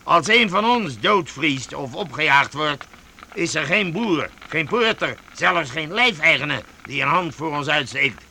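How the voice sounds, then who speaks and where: Gravelly, pompous vagabond chief. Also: male voice, on the high side, lively intonation, unhurried, pushed and bright, a little hiss or hum, heavily acted. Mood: scornful. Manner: pompous, mocking, theatrical. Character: boisterous mocking one-eyed vagabond chief, self-styled duke. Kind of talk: Dutch radio play acting.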